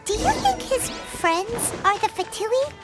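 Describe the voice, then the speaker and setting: Small cheerful, anxious game fairy. Extremely high, swoopy, childlike. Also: female voice, sing-song, slow pace, exaggerated voice. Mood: nervous. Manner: speaks of self in third person. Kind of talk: video game voice acting. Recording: music playing behind the voice.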